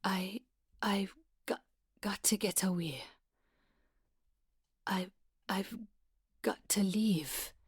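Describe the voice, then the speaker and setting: A woman playing a frightened, confused, worn-down mother in a dramatic monologue, hushed and halting. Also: mid-range voice, breathy edge, dry recording, clean studio sound. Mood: desperate, hushed. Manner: halting, hesitant, repeating herself, wandering.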